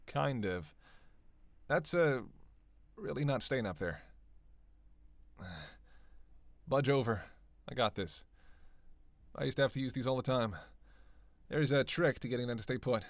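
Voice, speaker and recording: A man's voice: medium pitch, a little slow, long pauses, no room sound, lightly acted. A man acts out a cocky vampire in an intimate roleplay drama. His mid-range male voice is teasing and sardonic, playful with a flirtatious edge. The sound is dry and close, with no room on it. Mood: grumbling, casual. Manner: teasing, foul-mouthed, playful, intimate delivery with sighs. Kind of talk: scripted roleplay audio drama addressed to listener.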